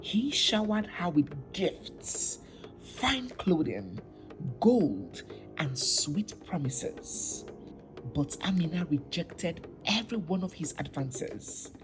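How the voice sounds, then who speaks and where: Slow, expressive woman storyteller over music. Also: lowish voice, low-quality recording. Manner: deliberate, dramatic phrasing with pauses. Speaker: storyteller, narrator. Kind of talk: scripted dramatic folktale narration. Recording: music behind the voice. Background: instrumental music.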